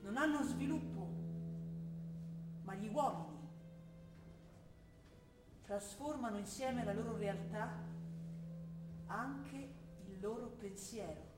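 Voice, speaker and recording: An actress playing a young student daughter in a stage drama, earnest and patient, with a Trentino northern Italian accent. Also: female voice, medium pitch, lively intonation, slow pace, pauses often. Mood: earnest, declaiming. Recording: roomy theatre sound, music behind. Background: music.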